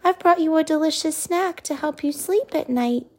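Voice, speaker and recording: A woman voicing a brave little girl princess in a storybook, high and sweet. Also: moderate pace, darkish tone. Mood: earnest.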